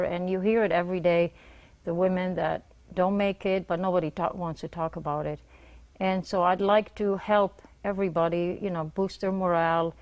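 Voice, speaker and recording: Woman, middle-aged, earnest, plainspoken, TV studio guest. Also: fairly low pitch. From Israel. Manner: earnest, plainspoken, lists things in short bursts. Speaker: guest, nonprofit founder. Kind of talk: morning TV interview.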